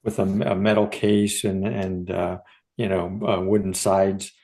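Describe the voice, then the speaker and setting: A man's voice: low-pitched, flat delivery, unhurried, warm tone. A man co-hosting a podcast in casual, unscripted conversation, tentative and often searching for his words. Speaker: podcast co-host.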